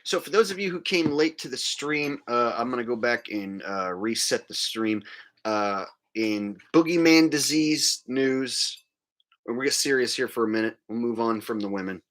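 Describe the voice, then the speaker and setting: A man's voice: medium pitch, lively intonation, moderate pace, fairly bright tone. A man hosting a livestream, delivering a casual, crude, mocking rant in a monologue. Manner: mocking, crude, acting out mimicry. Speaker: livestream host.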